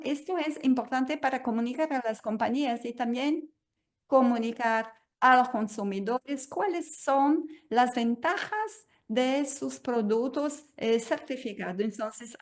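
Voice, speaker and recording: A woman moderating a webinar over a video call. She explains in a measured way and leans often on "entonces". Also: fairly high pitch.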